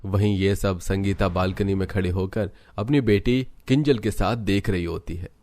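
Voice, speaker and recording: Male narrator, lower-pitched voice, scripted storytelling, family tale. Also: steady tone, normal speed, a little mellow, no room sound.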